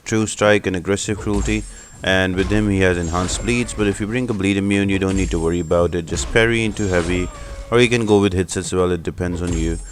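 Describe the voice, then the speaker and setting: A man's voice: sits low, fairly even intonation, fairly quick, a little hiss or hum. A man hosting a gaming channel, giving explanatory step-by-step commentary for a video game guide. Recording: music behind the voice. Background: music.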